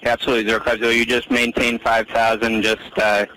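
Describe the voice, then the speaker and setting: A man's voice: medium pitch, completely flat delivery, quick pace, sharp tone, rough recording. A man in his thirties working as an air traffic controller, speaking over aviation radio with hesitant, reassuring phrasing. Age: 30s.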